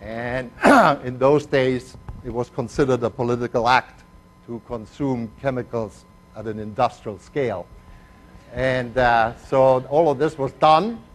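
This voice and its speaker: Older male lecturer with a foreign accent. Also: mid-range voice. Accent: mixed foreign accent, Spanish first language. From Argentina. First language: Spanish. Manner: digressive and self-deprecating, with frequent "uh" pauses. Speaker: chemistry lecturer. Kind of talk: university lecture.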